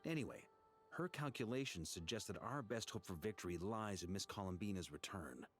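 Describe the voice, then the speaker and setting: Man acting as deep-voiced, level-headed knight commander. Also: lively intonation, a little fast, soft background music, lightly acted. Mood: measured, pragmatic. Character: seasoned male knight commander, pragmatic, informal, level-headed. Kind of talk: scripted video game dialogue acting.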